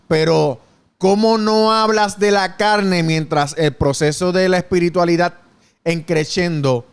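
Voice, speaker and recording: A man, chatting casually on a podcast and thinking aloud in earnest, with pauses and restarts. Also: high voice, smooth. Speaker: podcast co-host. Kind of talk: casual chat.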